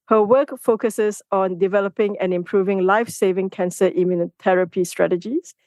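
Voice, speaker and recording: A woman at a middle pitch hosts a podcast interview. Her voice sounds dry and close, with no room around it. She moves from a read introduction into easy chat. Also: darkish tone, dry recording. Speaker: podcast host.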